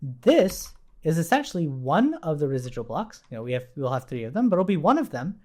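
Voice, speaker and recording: A man's voice: a little high, very animated, brisk, a little mellow. A man giving an informal live coding lesson, explaining step by step and often checking in with short tag questions. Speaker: programming instructor. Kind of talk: live coding tutorial, explaining as they type.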